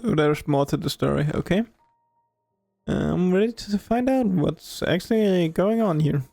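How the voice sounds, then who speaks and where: A man's voice: high voice, expressive, a little slow, gravelly, dark tone, faint music behind. A man who streams games, giving casual spoken reactions as he plays. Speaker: game streamer. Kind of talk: casual reactions while playing.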